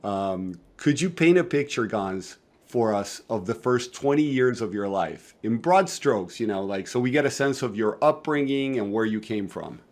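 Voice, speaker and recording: A man's voice: fairly low pitch, lively intonation, normal speed, few pauses. A man hosting an interview podcast, asking friendly conversational questions. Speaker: podcast host.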